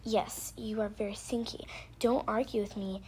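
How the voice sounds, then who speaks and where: A woman's voice: mid-range voice, fairly even intonation, normal speed, a little mellow, slight background noise, natural voice, low-quality recording. A woman voicing a stern, exasperated mother who scolds impatiently in pretend-play family roleplay.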